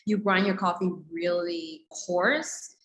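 A woman's voice: fairly low pitch, fairly even intonation, slow, a bit bright, a little hiss or hum. A woman from Malaysia explaining things conversationally as a guest in a casual interview. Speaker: guest, coffee company co-owner.

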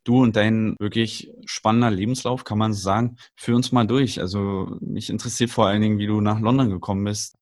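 A man with a low voice hosts a podcast in a dry, close-miked sound. He jokes and teases playfully as he introduces a guest. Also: steady tone, a little fast, few pauses, a little mellow, no echo. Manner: jokey, playful. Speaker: podcast host. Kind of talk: casual podcast chat.

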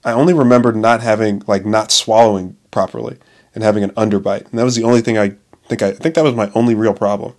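A man in his late twenties with a fairly low voice hosts a loose solo podcast. He rambles and cuts himself off mid-thought. He swears freely and keeps laughing at his own remarks. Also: steady tone, quick pace, a little mellow. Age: 20s. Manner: profane, self-interrupting, laughs often. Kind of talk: casual rambling monologue.

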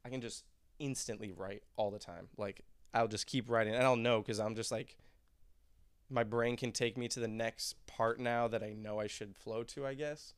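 A young man chatting on a podcast in a loose, rambling way, laughing often. His voice is smooth and resonant, recorded close and dry with no room sound. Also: medium pitch, steady tone, normal speed, no room sound. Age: young adult. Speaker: musician guest. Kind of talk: casual podcast chat.

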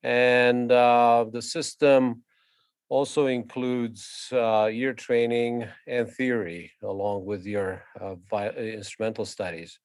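A man, answering interview questions haltingly with many filler sounds and restarts. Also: mid-range voice, little pitch movement, no room sound. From Romania. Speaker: guest violinist and conductor.

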